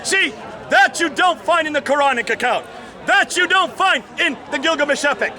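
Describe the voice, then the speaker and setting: A man preaching and debating in the street, loud and forceful, calling out to the onlookers. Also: very high-pitched, animated, moderate pace. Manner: loud, preachy, addresses the crowd as folks. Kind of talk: heated outdoor debate. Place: outdoors in a busy city street. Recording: loud street crowd around. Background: crowd noise.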